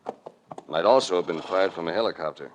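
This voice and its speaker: An actor playing a cool, commanding investigator in an old radio mystery, with terse and authoritative delivery. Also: male voice, deep voice, brisk, dull audio, slight background noise, lightly acted. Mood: accusing, firm. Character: cool, commanding male investigator, hard-boiled and shrewd. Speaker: lead actor and narrator. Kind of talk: 1940s-50s radio detective acting. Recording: vintage radio drama recording.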